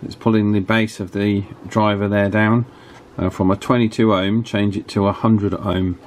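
A man giving a hands-on repair tutorial at a workbench in an informal, matter-of-fact way with hesitant pauses. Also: on the low side, flat delivery, a little hiss or hum. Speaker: radio repair technician and presenter. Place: indoors at an electronics workbench.

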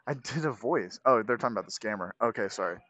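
A man's voice: on the low side, expressive, fast, pushed and bright, dull audio. A man chatting casually as a guest streamer, rambling and joking as he goes. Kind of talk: casual livestream chat.

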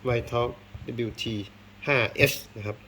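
A man's voice: mid-range voice, steady tone, slow pace, fairly bright tone. A man giving casual hands-on unboxing commentary, thinking aloud as he explores, with polite phrasing. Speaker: unboxing video host. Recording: clear background noise, rustling and clicking.

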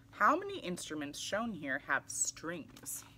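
A woman reading a picture book aloud to young children in an animated, exclamatory way. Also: on the low side, slow pace, slight background noise, poor audio. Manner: animated, exclamatory, with audible gasps. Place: small indoor room, seated in armchair.

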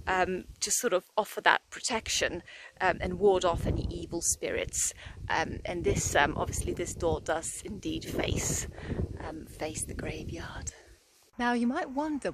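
A woman's voice: on the high side, background noise. A woman in her thirties filming an outdoor vlog in a churchyard, talking casually with many restarts and fillers. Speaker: vlogger. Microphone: phone held at arm's length.

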